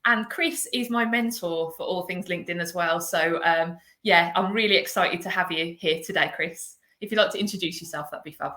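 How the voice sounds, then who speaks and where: A woman's voice: fairly low pitch, brisk, hardly any pauses, sharp tone, roomy sound. A woman with a Birmingham accent hosting a live video interview, chatty, laughing easily and using many fillers. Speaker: host, agency director. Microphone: video call.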